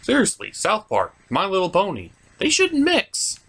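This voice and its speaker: A man's voice: high-pitched, wildly swooping pitch, normal speed, fairly bright tone, breathy edge. A man narrating a scripted comedic review as host, in a sarcastic, theatrical way.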